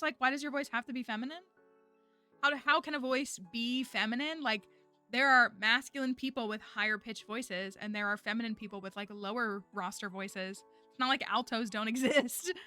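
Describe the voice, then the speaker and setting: A young woman talks to her stream in a loose, rambling way, full of fillers and quick restarts. Her voice sits a little high and sounds bright and forward. Faint music plays underneath. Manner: rambling, filler-heavy, frequent restarts and laughs. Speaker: streamer and online teacher. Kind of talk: casual livestream chat. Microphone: headset or desk mic.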